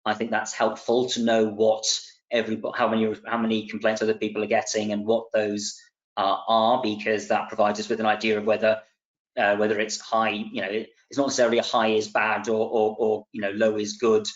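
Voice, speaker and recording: Halting man on a dull call line. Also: fairly low pitch, monotone. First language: Welsh speaker. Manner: halting, many restarts and fillers. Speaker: council committee member. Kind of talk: remote council meeting discussion. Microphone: video call. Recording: dull lo-fi remote call audio.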